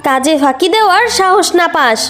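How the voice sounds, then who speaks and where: Woman playing a shrill, very high-pitched cruel aunt. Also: animated, fairly quick. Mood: threatening. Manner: sharp nagging, rhetorical questions. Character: cruel, scolding aunt, bossy and threatening. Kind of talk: children's cartoon fairy-tale dubbing. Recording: music clearly behind voice.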